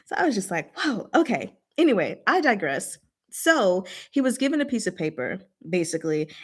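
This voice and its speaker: A woman hosting a casual book discussion, explaining things informally with playful, rambling asides. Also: lowish voice.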